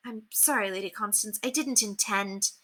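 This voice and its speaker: A woman's voice: medium pitch, normal speed, fairly bright tone, pitched above the performer's own voice, close to an everyday voice. Woman acting a meek, polite young governess. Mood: apologetic, meek. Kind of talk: storybook character voice read aloud.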